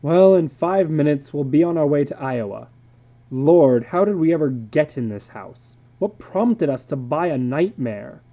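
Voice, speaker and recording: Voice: mid-range voice, expressive, measured pace, dull audio. A performer voicing a fed-up, stern husband and father, speaking emphatically and with exasperation. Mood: weary.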